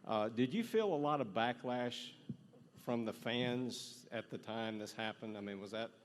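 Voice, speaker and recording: A man in his fifties with an average-pitched voice speaks as an audience member. He is earnest and appreciative, wandering casually toward what he wants to ask. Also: a little slow. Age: 50s. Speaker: audience member asking a question. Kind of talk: casual, rambling fan question.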